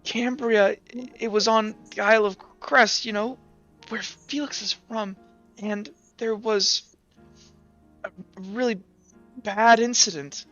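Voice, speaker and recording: A man voicing an anxious, hesitant teenage boy in a tabletop game, stammering and halting as he talks. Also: sits high, fairly even intonation, sharp tone, dull audio, soft background music, pitched above the performer's own voice, heavily acted. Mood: uneasy, halting. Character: anxious, hesitant teenage boy named K one, recalling bad news.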